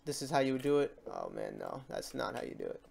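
A tutorial host with a mid-pitched voice talks over a screen recording. The delivery is unscripted and informal, rambling a little while thinking aloud through each step of the software. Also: wildly swooping pitch, quick pace, vocal fry, a little hiss or hum.

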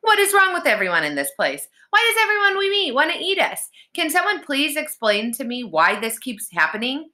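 A woman's voice: medium pitch, sing-song, a little slow, sharp tone, voice pushed up. A woman voicing an anxious, skeptical young twin brother in a children's storybook, complaining with sarcastic indignation. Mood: indignant.

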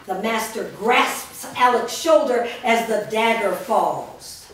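A woman reads stage directions in a steady, clear voice, pitched a little high. She is recorded from a distant camera. The big studio room gives a long, hollow echo and a faint hum. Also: slow. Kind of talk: reading stage directions aloud. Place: large studio room with actors in a circle. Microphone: far camera. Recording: roomy echo from far off.

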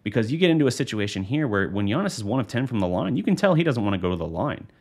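A man's voice: mid-range voice, sing-song, rapid-fire, darkish tone, slightly rough. An American man in his thirties giving casual, unscripted postgame basketball analysis in a conversational, rambling way into a studio microphone. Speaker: sports show host and analyst. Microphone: studio microphone on a boom arm.

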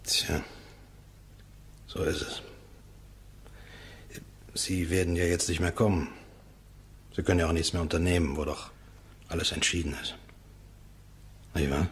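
An actor playing a resigned condemned prisoner in a German radio drama, speaking in a halting, subdued, very husky voice. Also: male voice, deep voice, fairly even intonation, a little hiss or hum. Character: resigned condemned prisoner protesting his innocence, grateful.

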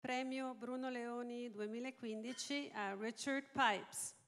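A woman's voice: fairly high pitch, steady tone, measured pace, fairly bright tone. A woman presents an award formally from a theatre stage, speaking as the ceremony's host. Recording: reverberant hall, faint noise.

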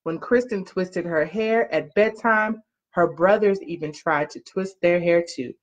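A woman's voice: fairly low pitch, measured pace. A woman reading a children's storybook aloud expressively, drawing out key words and prompting young listeners with questions. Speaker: parent-like storyteller reading a picture book to children. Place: small living room, on a couch. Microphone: webcam or laptop mic at arm's length. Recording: muffled lo-fi webcam audio.

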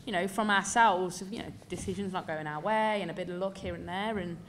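Candid English woman coach, lowish voice. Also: brisk, a little hiss or hum. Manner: candid, emphatic, many fillers. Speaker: football coach. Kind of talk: post-match press conference answers. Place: indoor press room before a sponsor backdrop.

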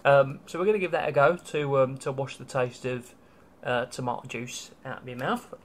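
A young man with a British accent chats wryly into a laptop webcam. He talks in a middle pitch and fills his sentences with ums and restarts. A faint hiss of room noise sits under his voice. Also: steady tone, normal speed. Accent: British English. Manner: chatty and wry, with many ums and restarts. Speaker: travel vlogger. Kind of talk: casual food-tasting vlog. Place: small hotel room, indoors.

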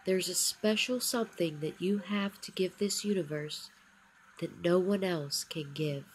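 A woman narrating a scripted guided meditation in a soothing, encouraging manner, with short phrases and long pauses. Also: fairly low pitch, slight background noise, rough recording. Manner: encouraging affirmations. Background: background music.

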